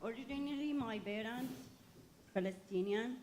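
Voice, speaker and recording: A woman's voice: on the high side, normal speed, background noise. A woman from Syria with an Arabic accent, making a personal comment from the audience at a public meeting.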